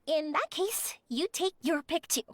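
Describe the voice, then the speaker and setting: A woman's voice: high voice, expressive, a little slow, fairly bright tone, no room sound. A woman voicing a bubbly, enthusiastic young woman traveller in a video game, eager and exclamatory.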